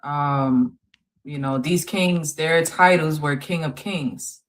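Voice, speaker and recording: A woman co-hosting a religious live-stream panel, explaining to callers in a calm, measured way. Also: low-pitched.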